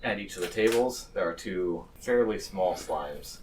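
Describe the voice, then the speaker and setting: Male game master, low pitch, improvised tabletop narration, slightly distant mic with faint noise. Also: lively intonation, unhurried, darkish tone.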